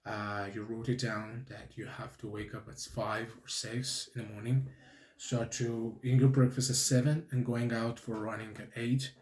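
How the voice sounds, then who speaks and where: A young man hosts his own podcast in English, his mellow voice picking its way through sentences with many restarts. He checks in often with a quick "okay?". The sound carries a noticeable room echo. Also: mid-range voice, little pitch movement. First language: Persian. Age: 20s. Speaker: podcast host. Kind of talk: casual solo podcast monologue.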